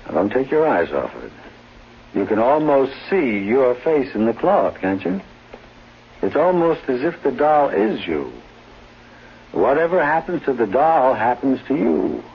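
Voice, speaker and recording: A man's voice: medium pitch, sing-song, a little slow, vocal fry, slightly rough, heavily acted. An actor playing a sinister, taunting man in a 1970s radio drama, speaking with hypnotic menace. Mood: menacing, teasing. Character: sinister, taunting man toying with a voodoo doll. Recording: dull, faintly noisy 1970s radio recording.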